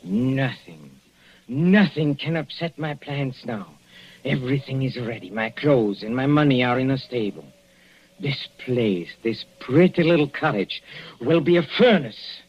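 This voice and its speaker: A man's voice: medium pitch, lively intonation, measured pace, slightly rough. An actor playing a scheming male professor who is poisoned and breaks into stammering pleas, in a 1940s radio drama. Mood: smug. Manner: stammering, pleading then shouting. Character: panicking poisoned male professor, mathematician killer. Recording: dull old radio broadcast.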